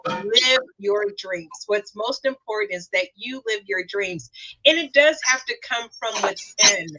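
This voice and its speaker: A woman hosting a conversation over a video call, measured, slowing down to stress key phrases. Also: mid-range voice, music in the background, rough recording. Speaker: host.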